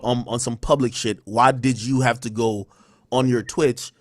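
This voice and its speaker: A man's voice: mid-range voice, steady tone, measured pace, no echo, clean studio sound. A livestreamer man ranting defensively, rambling in heavy slang. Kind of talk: livestream rant.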